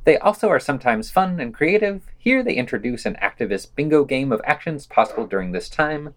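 A man's voice: medium pitch, sing-song, a little fast. A man co-hosting a podcast, reading scripted news summaries and quotes with wry, sardonic asides. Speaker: podcast host.

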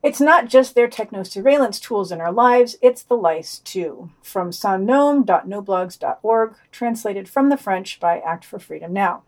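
A woman's voice: mid-range voice, expressive, a little slow, hardly any pauses. A woman hosting a podcast, reading a scripted news digest and quoted passages aloud with wry asides. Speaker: podcast host.

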